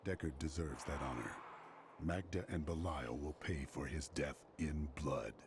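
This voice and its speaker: An actor playing a grim, fearless, vengeful warrior hero in a video game, speaking in stern declarations. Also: male voice, very deep voice, moderate pace, slight background noise. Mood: grim resolve. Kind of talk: dramatic video game voice acting.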